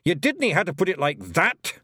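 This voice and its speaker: A man's voice: a little high, sing-song, normal speed, a bit bright, dry recording, clean studio sound, pitched above the performer's own voice. A man putting on a scrappy Scottish pixie chief. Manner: gruff brogue, cheeky. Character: scrappy tiny male pixie chieftain, boastful.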